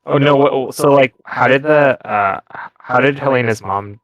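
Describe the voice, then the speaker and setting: A man's voice: medium pitch, measured pace, a bit bright, dry recording, dull audio. A man playing a tabletop role-playing game, asking questions at the table. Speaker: player. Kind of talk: casual tabletop play.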